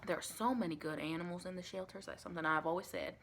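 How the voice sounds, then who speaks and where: A soft-spoken woman playing a chatty, caring female vampire intake interviewer in an improvised ASMR roleplay, rambling and reassuring with pet names. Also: on the low side, fairly even intonation, fast, background noise, lightly acted. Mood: tender. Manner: rambling, reassuring, endearments like honey and sweetie.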